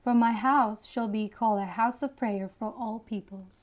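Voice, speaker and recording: Mellow-voiced woman from the Dominican Republic. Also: a little high, steady tone, normal speed. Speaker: panelist.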